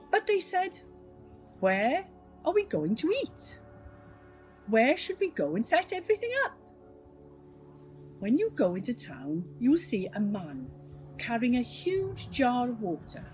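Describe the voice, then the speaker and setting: A woman reading a story aloud to children in an expressive storyteller's style. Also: on the high side, rough recording.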